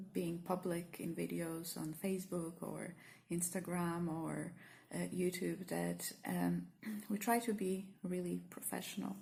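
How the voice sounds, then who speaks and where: Woman, Polish accent, low voice, gravelly, hesitant unscripted vlog talk. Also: a little mellow, slight background noise. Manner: hesitant, many fillers and restarts, earnest. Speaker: spiritual teacher and vlogger. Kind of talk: spontaneous reflective monologue to camera.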